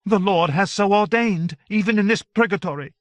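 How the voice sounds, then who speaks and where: A tiny, zealous young maiden who speaks of holy battles in high, ringing tones, as a male narrator puts her on. The delivery is fervent and declaiming. The sound is dry and close. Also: moderate pace, dry recording, lightly acted. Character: Joan of Arc as a tiny zealous young woman. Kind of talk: audiobook character voice.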